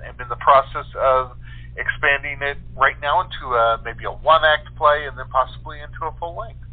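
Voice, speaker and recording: A man from Kansas with an American accent, chatting casually as an interview guest. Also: mid-range voice. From Kansas, United States. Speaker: guest playwright and author. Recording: tinny telephone line.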